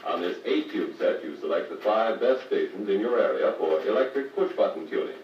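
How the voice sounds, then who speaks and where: An American actor playing a patient car radio service instructor, explaining methodically in a 1950s training filmstrip style. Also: male voice, on the low side, lively intonation, brisk, lightly acted. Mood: patient, instructive. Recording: muffled, noisy, echoey 1950 record playback, distant mic.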